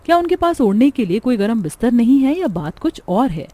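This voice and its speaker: A woman's voice: fairly high pitch, very animated, a little fast, mellow. A woman narrating a scripted cartoon story in a dramatic storytelling manner. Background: background music.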